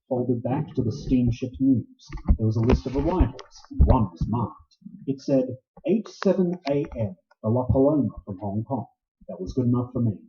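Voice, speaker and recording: An actor playing a hard-boiled private detective in old radio noir style, with slangy, laconic, wry delivery. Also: male voice, medium pitch, background noise, natural voice. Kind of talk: 1940s radio noir narration and acting. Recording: muffled lo-fi sound in echoey room.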